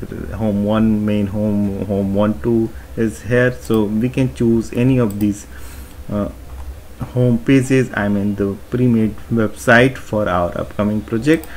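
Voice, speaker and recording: A man talking through a screen-recorded website tutorial in an unhurried, explanatory way, with many fillers and restarts. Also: mid-range voice, slight background noise. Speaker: tutorial narrator.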